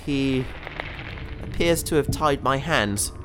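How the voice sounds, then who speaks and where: A man's voice: medium pitch, animated, takes it slowly, background noise. An actor playing a naive, cheerful young man trapped in an alien's fish tank, rambling and talking his way around trouble in a sci-fi audio drama. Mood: breezy. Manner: naive, breezy, rambling and self-justifying.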